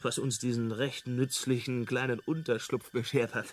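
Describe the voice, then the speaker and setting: An actor playing an unflappable galactic hitchhiker in a radio drama, explaining things in a nonchalant, amused way. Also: male voice, mid-range voice, level tone, normal speed, a little hiss or hum, close to an everyday voice. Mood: breezy, amused. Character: breezy, unflappable male galactic hitchhiker, experienced field researcher.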